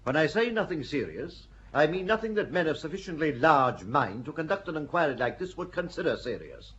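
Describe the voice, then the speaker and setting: A man voices a dignified bishop in an audio drama, speaking with a fairly high, very animated voice that rises and falls as he keeps order. The sound is dull and lo-fi. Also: fairly quick. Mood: measured authority. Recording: dull lo-fi recording.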